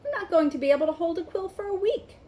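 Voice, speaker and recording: A woman's voice: very high-pitched, animated, quick pace, mellow, pitched above the performer's own voice. A woman reading a storybook as an exasperated schoolboy, indignant and grumbling. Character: Ron, exasperated, complaining schoolboy.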